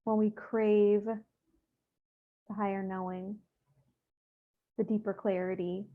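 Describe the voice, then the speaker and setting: A woman leading a guided meditation, speaking gently and without hurry, with soothing instructions and pauses. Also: medium pitch, little pitch movement. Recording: thin, phone-like narrow-band audio.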